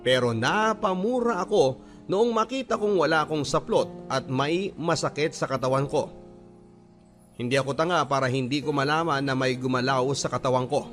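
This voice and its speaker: A man's voice: mid-range voice, normal speed, fairly bright tone, soft background music, lightly acted. A man playing a wounded letter sender, narrating a personal story in dramatic Filipino radio style. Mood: pained recounting.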